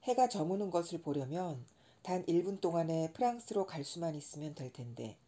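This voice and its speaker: Mellow, low-voiced woman reading a storybook. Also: fairly quick. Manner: calm, gentle reading. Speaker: audiobook narrator. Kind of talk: scripted storybook narration.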